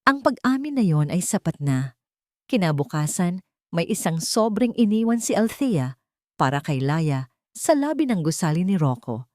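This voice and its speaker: Female audiobook narrator, Filipino accent, lower-set mellow voice, dramatic storytelling, recorded close and dry. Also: very animated, moderate pace, no echo.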